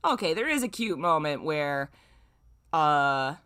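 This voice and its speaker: A woman's voice: low voice, very animated, slow pace, a bit bright, clean tone. A woman streamer commenting casually and reading aloud in an exclamatory, informal, self-mocking manner.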